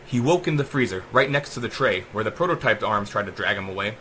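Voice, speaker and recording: Theatrical, animated male storyteller. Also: lowish voice, fast talker, a little hiss or hum, poor audio. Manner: theatrical, emphatic. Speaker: author reading own short story. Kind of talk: dramatic rhyming audiobook narration.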